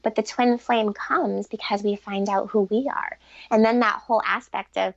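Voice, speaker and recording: Female relationship expert, mid-pitched with noticeable vocal fry, earnest and fluent in interview; dry but dull sound. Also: fairly even intonation, moderate pace, no echo. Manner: earnest, fluent, long explanations. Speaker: guest relationship expert and author. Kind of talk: conversational explanatory interview answers.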